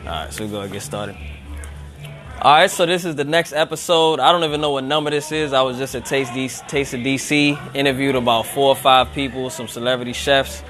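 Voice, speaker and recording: A man's voice: medium pitch, brisk, a bit bright, soft background music. Easygoing man hosting a live interview. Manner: loose, conversational, lots of fillers. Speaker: show host. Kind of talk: casual live-streamed interview. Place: upstairs lounge of a restaurant. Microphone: handheld phone, no tripod.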